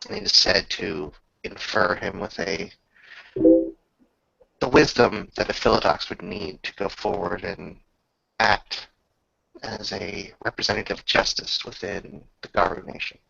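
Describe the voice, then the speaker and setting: A man with a lowish voice full of heavy creak narrates a tabletop fantasy game like a saga. He speaks slowly and grandly, leaving long pauses between phrases. The recording is slightly dull. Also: bright, forceful tone, dry recording. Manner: measured, grandiose, with drawn-out pauses. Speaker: game master. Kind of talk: dramatic storytelling narration for a tabletop roleplaying game.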